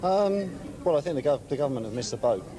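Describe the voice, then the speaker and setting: A man's voice: on the high side, expressive, quick pace. A man in his thirties giving his opinion to a reporter. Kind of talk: vox pop opinion. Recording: very loud crowd.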